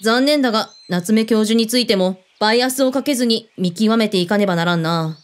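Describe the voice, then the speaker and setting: A woman's voice: fairly high pitch, brisk, lightly acted. A woman playing a shrewd, skeptical former agent in a radio drama, with terse, mannish phrasing and probing questions. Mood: serious, probing. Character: shrewd, skeptical female ex-agent in a protection business. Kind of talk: Japanese radio drama acting.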